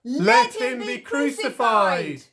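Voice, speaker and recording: A woman playing one of an angry crowd, with loud, drawn-out cries in a dramatised scripture reading. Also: fairly high pitch, huge pitch swings, exaggerated voice. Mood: angry demanding. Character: angry crowd demanding a crucifixion.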